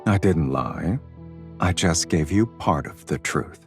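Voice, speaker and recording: An actor playing a cool, teasing, protective male gang leader in a romance game, unhurried and self-assured. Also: lively intonation, over music, heavily acted. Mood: teasing, fond. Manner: unhurried, low-key, smoothly teasing.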